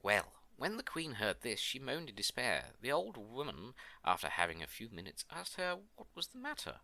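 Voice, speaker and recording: A man's voice: mid-range voice, wildly swooping pitch, normal speed, creaky, pushed and bright, no echo. A man narrating a scripted fairy tale as a storyteller, with a theatrical storybook delivery.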